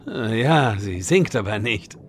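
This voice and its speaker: A man's voice: fairly low pitch, very animated, measured pace, slight background noise. A man playing an earnest, pedantic know-it-all raid leader in a fantasy comedy audio drama.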